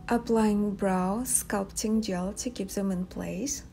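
A woman's voice: mid-range voice, steady tone, slow, creaky, dark tone. A young woman, a native Russian speaker with a Russian accent, presenting a makeup tutorial to viewers as a beauty vlogger. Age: young adult. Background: background music.

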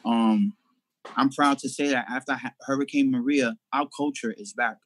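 A middle-aged man from Puerto Rico talks earnestly and proudly, often with a "you know". His voice is mid-pitched and the sound is dry and close. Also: steady tone, moderate pace, fairly bright tone, no echo. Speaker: guest filmmaker. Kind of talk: casual video call interview.

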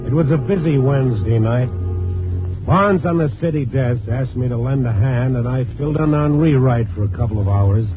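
A man's voice: medium pitch, normal speed, over music, lightly acted. Man playing a hard-boiled radio reporter, muffled recording. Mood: brooding. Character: hard-boiled, poetic Chicago night-beat newspaper reporter. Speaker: narrator and lead of a radio series. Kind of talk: 1950s radio drama narration. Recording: muffled old radio broadcast.

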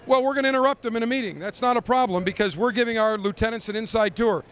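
A man's voice: very high-pitched, fairly bright tone, slight background noise. A middle-aged man hosting a live walkthrough of a trade show, joking and bantering brashly with guests into a handheld mic in a large convention hall. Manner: brash, joking, fast-talking showman. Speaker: radio show host touring a trade show. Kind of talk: live roving interviews and walkthrough. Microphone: handheld interview mic.

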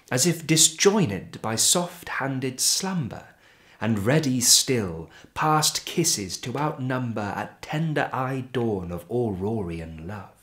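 Guy reading poetry out loud really slowly, expressive, lots of deliberate pauses, middling pitch. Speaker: poetry reader. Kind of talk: dramatic poetry recitation.

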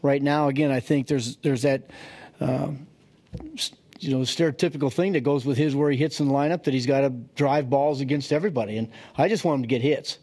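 A middle-aged man, a baseball manager, answering questions at a post-game press conference, halting and restarting his sentences. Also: medium pitch, darkish tone. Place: press room with sponsor backdrop. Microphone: podium microphone.

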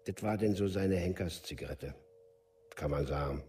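A resigned, matter-of-fact village drinker played by a man in a radio play. His low voice comes from a little way off the microphone, laconic and colloquial. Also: moderate pace, warm tone, distant mic, slight background noise, close to an everyday voice. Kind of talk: documentary radio play monologue.

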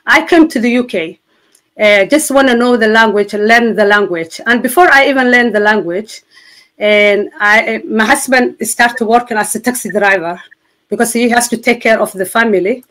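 A middle-aged woman from Somalia with a Somali accent, giving her personal testimony as a guest on a video call, earnest and halting with many fillers and restarts. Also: fairly high pitch, a bit bright. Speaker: interview guest. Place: indoors in front of a city-skyline backdrop.

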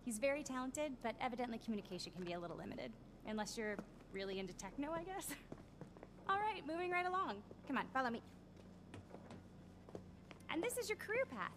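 An actress playing a frazzled, resentful young production assistant with an awkward, sarcastic way of talking in a video game. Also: female voice, high-pitched, sing-song, fairly quick, long pauses, background noise. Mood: awkward explaining.

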